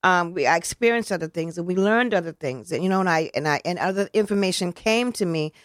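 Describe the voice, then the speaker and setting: A woman's voice: lowish voice, animated, fast talker, dry recording, studio quality. A woman who organizes a community celebration, talking casually in an interview. She is earnest and rambling, restarts her sentences often, and drops in casual slang.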